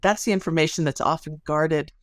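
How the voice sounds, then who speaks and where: A woman's voice: deep voice, fairly even intonation, fairly quick, dry recording, studio quality. A woman speaking as an expert interview guest, explaining things in an articulate, analytical way. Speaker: interview guest, sociology researcher.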